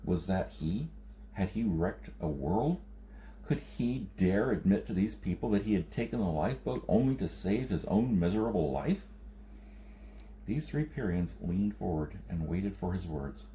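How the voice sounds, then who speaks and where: A man's voice: low voice, animated, normal speed, dark tone, slight background noise, low-quality recording. A man narrating a science fiction novel as an audiobook reader.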